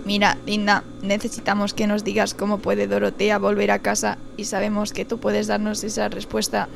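A woman playing a grumbling, deadpan lion companion in a comic audio drama. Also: medium pitch, normal speed, creaky, a little hiss or hum. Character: grumbling shaggy lion companion who roars.

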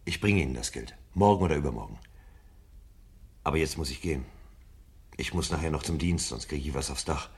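A man's voice: extremely low pitch, a little fast, long pauses. An actor playing a troubled, earnest fireman with a blunt manner in a 1970s German radio play. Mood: matter-of-fact. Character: troubled, forceful male fireman seeking guidance.

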